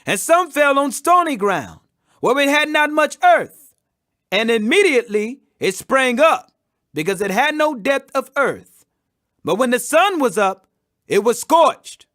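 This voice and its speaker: A man's voice: extremely high pitch, very animated, measured pace, fairly bright tone, no echo, clean studio sound. A man reading scripture aloud as a scripted audiobook, with an emphatic, declamatory delivery. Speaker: Bible reader.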